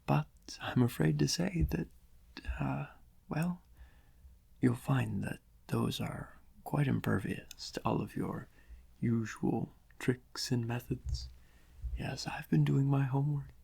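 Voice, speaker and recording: A man playing a smug, theatrical criminal mastermind in a soft-spoken ASMR roleplay, breathy and husky, savouring his words with pauses. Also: mid-range voice, exaggerated voice. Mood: gloating, delighted. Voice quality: breathy, husky, often whispered. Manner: slow, savouring, gloating, with drawn-out pauses.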